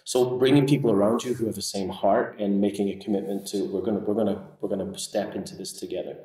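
Earnest low-voiced priest, roomy far sound. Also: male voice, fairly even intonation, fast talker, warm tone. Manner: earnest, repeats words when starting phrases. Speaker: priest and author. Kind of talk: interview conversation. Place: sitting room with large windows.

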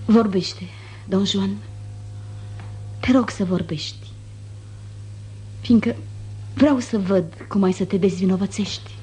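A woman's voice: medium pitch, pauses often, a little mellow, natural voice. An actress playing a proud, wounded noblewoman, formal, declamatory and reproachful, in 1950s radio theatre. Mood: pained, demanding.

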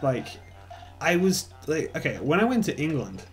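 A man's voice: fairly high pitch, very animated, normal speed, soft background music. A young Australian man with an Australian accent commentating casually over gameplay, rambling and swearing freely. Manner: rambling, swears a lot, self-mocking. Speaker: let's play host.

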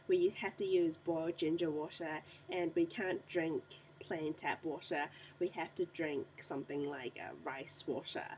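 A young woman with a croaky voice from a recent flu, chatting casually to a webcam in her bedroom with lots of ums. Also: low-pitched, a little mellow, a little hiss or hum, rough recording. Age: young adult. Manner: chatty and rambling, many ums. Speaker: beauty vlogger. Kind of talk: casual unboxing vlog to camera. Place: small bedroom indoors. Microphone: webcam at desk distance.

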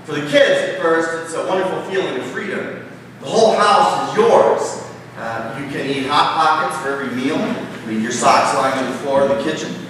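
A man's voice: mid-range voice, normal speed, fairly bright tone, a little hiss or hum. A pastor in his thirties giving a sermon at a pulpit, conversational and emphatic, with rising lists and gestures. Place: large church sanctuary, at a pulpit. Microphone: pulpit mic, filmed from far camera. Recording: echoey church hall from far off.

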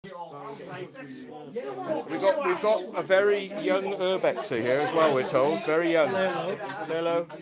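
A man's voice: on the high side, a little slow, long pauses. A middle-aged man with a Welsh accent, joking and chatting as he hosts a phone livestream in a dim, crowded indoor venue. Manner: joking, chatty, self-mocking. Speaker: livestream host. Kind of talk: casual banter on a livestream. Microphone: phone camera at arm's length. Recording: loud crowd chatter behind.

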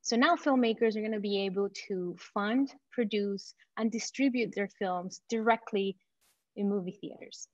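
A woman from Venezuela presenting her company in an enthusiastic, confident startup pitch. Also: normal speed, dry recording, muffled recording. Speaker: company founder pitching to investors.

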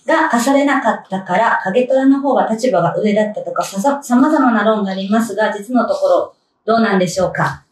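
A woman's voice: mid-range voice, brisk. A young woman hosting an interview, politely reading out questions. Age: young adult. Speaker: host interviewer. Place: small indoor room, seated at a table. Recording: roomy, distant mic.

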